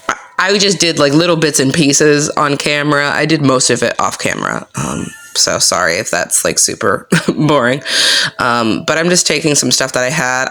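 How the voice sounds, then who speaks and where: Low monotone lady vlogging her reading journal, slow casual rambling with lots of ums. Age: adult with young kids. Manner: unhurried, filler-heavy, lots of "um" and "like". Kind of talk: casual chat while crafting.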